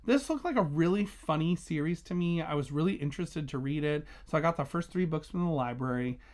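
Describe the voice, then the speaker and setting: A man's voice: fairly high pitch, lively intonation, fairly quick, darkish tone. A man hosting a casual book review vlog, talking conversationally and emphatically, stressing and repeating key words.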